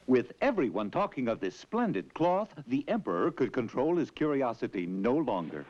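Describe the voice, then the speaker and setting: A man's voice: mid-range voice, big pitch swings, moderate pace, darkish tone. A man narrating a scripted fairy tale as a theatrical storyteller. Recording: dull lo-fi old tape, music behind.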